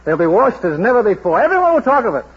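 An actor in a vintage radio drama playing a proud, bossy but tender street washer who speaks emphatically. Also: male voice, very high-pitched, very animated, fast talker, full character voice. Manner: emphatic, declamatory, commanding. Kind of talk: 1940s radio drama acting. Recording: husky, dull old radio drama recording.

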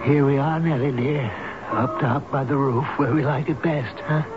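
An actor playing an addled, gentle, childlike old man who talks haltingly and repeats himself in a 1970s radio drama. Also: mid-range voice, fairly even intonation, lightly acted. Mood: secretive, anxious, tender. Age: older. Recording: tinny narrowband old radio broadcast with music.

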